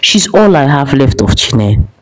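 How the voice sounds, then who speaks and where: A woman plays a responsible military man in a storytelling folktale. He is a caring, earnest brother who urges sincerely, with widely moving pitch. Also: sing-song, measured pace, heavily acted. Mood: tender pleading. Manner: earnest, urging.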